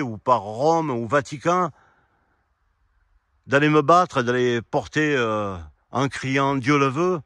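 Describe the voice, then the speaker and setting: A man giving a rambling, argumentative opinion monologue, speaking directly to his listeners in an indignant way and halting to search for words. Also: mid-range voice, fairly bright tone, no echo, studio quality. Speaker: amateur video commentator. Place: quiet spot outdoors.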